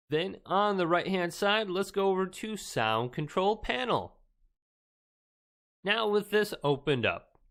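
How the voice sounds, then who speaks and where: A man's voice: high voice, a bit bright. A man presenting a casual step-by-step tech tutorial in an upbeat, emphatic presenter's delivery. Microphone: Blue Yeti desktop microphone.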